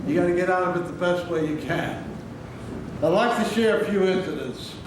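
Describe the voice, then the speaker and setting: A man's voice: sits high, normal speed. A man telling joking anecdotes to a live audience in a chatty, casual way. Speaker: stage performer telling anecdotes. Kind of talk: casual stage storytelling. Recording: echoey hall from far off, noisy.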